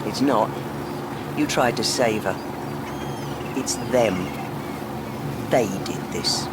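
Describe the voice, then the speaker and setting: A woman's voice: extremely low pitch, slow, very noisy. An actress playing a fierce, grief-stricken woman in a horror audio drama, bitter and emphatic but protective. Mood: consoling, drained. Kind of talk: full-cast horror audio drama acting. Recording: loud music bed throughout.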